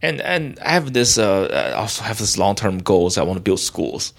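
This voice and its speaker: A young man from China, a guest in an interview, talking in a halting way with many restarts and fillers. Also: on the low side, big pitch swings, creaky. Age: young adult. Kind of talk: casual interview talk.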